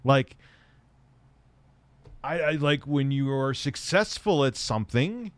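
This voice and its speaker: A man's voice: mid-range voice, slow, clear, resonant tone, no echo. Profane, rambling male livestream host. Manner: profane, rambling, animated, laughs often. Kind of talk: casual livestream chat reading viewer comments.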